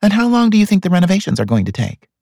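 A man's voice: sits high, sing-song, racing, darkish tone, no room sound, studio quality, pitched above the performer's own voice. A man voicing a polite, guarded young career woman in an audiobook story. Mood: surprised, questioning.

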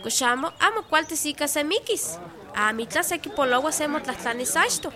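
A woman's voice: sits high, lively intonation, normal speed, bright, forceful tone. An actress playing a worried, questioning pregnant village woman in a radio soap opera. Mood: anxious, uneasy.

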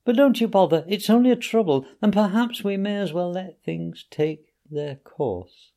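A man's voice: high-pitched, normal speed, warm tone, voice pushed up. A man doing a feeble, self-pitying toad. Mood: feebly pleading. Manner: languid, feeble, martyred. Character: vain self-pitying male toad feigning illness.

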